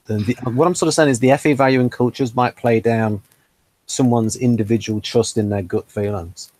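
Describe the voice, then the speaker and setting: A man's voice: medium pitch, fairly even intonation, normal speed, darkish tone, no echo. A man hosting a casual interview, explaining ideas, reading items aloud and asking follow-up questions. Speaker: host.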